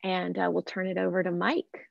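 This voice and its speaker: A woman's voice: on the low side, completely flat delivery, moderate pace, creaky, slight background noise. A woman artist describing her work on a video call, hesitant with many fillers. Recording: dull, dry video call audio.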